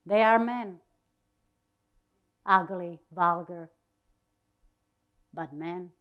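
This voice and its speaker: A woman giving a dramatic reading of a diary, deliberate and expressive, with pauses. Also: on the low side, highs cut off. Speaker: reader of a diary.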